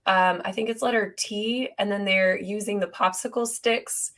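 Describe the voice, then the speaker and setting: A young woman co-hosting a podcast in casual chat, her voice hoarse and scratchy from losing it, laughing often. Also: medium pitch, steady tone, normal speed, fairly bright tone. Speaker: podcast co-host.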